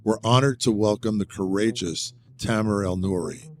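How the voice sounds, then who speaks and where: A man's voice: lowish voice, moderate pace, background noise. A man hosting a podcast who reads a scripted introduction and asks interview questions in an earnest, formal way. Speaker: podcast host.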